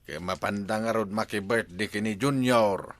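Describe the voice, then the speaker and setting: A man's voice: fairly low pitch, normal speed. A man with an Ilocano accent playing a bickering husband in a comedy drama. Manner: bickering, exclaiming.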